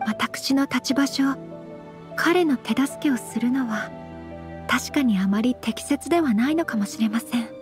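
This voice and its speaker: A high, girlish voice of a well-born young lady in an anime game. She is gentle and principled, with very formal, composed phrasing. Music runs behind her. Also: a little slow. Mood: modest, sincere. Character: refined young noblewoman, gentle, modest and principled.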